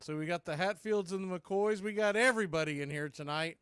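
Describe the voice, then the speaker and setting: A man calling a baseball game pitch by pitch as a fan radio host, mixing play calls with opinionated chat. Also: brisk, fairly bright tone, clear, resonant tone, dry recording, studio quality. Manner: conversational, opinionated fan commentary.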